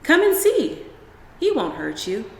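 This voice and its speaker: A woman's voice: sits high, wildly swooping pitch, a little slow, gravelly, voice pushed up, heavily acted. Woman playing an eager little boy, echoey storybook. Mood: excited. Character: brave, eager little boy, proud frog catcher. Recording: roomy echo with long reverb.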